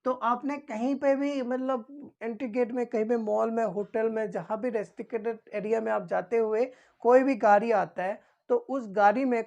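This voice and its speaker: A woman's voice: fairly high pitch, darkish tone, muffled recording. A woman instructor giving an informal explanatory lesson, repeating key points and answering her own questions.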